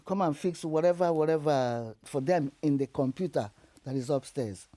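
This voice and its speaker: Older Nigerian woman with a mellow voice. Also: low-pitched, normal speed. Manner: animated storytelling, laughs while telling hard memories. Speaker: interview guest. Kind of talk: recounting her life story.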